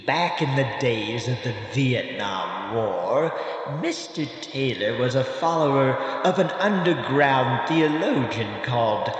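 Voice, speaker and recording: A man playing a creepy, hospitable morgue attendant who tells horror tales in a halting, theatrical audio drama style. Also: mid-range voice. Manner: halting, theatrical, swooping delivery. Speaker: host storyteller of horror anthology. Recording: cavernous reverberant echo, faint noise.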